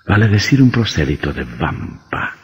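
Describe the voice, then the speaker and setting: An actor playing a sinister, gloating vampire doctor in an old radio horror drama, with a mocking, deliberate menace. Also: male voice, low-pitched, very animated, breathy edge, heavily acted. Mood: gloating, menacing. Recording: muffled old radio recording, long reverb.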